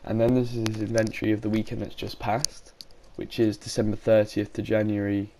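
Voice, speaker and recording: A man's voice: fairly low pitch, level tone, fairly quick, background noise. A man with a sore throat, talking casually about a game in his own video and often correcting himself. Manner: rambling, frequent self-corrections. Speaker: gaming YouTuber.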